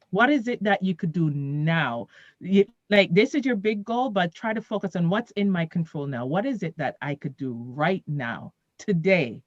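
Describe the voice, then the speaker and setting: A woman's voice: low-pitched, no room sound, lo-fi sound. Upbeat motivational woman on radio. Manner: motivational, animated, repeats "right?" and "guess what?". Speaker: radio co-host. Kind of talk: casual radio chat.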